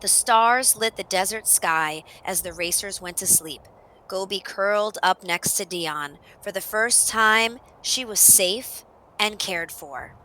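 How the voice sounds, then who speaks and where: Bright, unhurried woman reading a storybook. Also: mid-range voice, rough recording. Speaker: storybook narrator. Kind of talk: expressive children's read-aloud narration.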